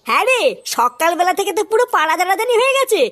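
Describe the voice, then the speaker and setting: An actor voicing a cheeky, foul-mouthed young slacker in a comic cartoon, brash and excitable. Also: extremely high pitch, very fast, full character voice. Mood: excited gossip. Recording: music behind the voice.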